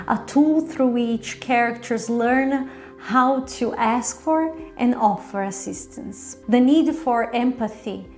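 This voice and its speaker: High-voiced woman giving an articulate review. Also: warm tone. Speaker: drama reviewer hosting own review show. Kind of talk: semi-scripted review commentary.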